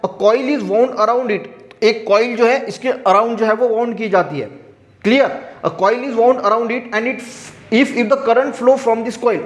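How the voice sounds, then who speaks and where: High-pitched Indian male teacher, echoey room. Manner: repetitive, step-by-step explaining. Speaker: science teacher. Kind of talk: classroom explanation alternating read-out textbook sentences with explanation.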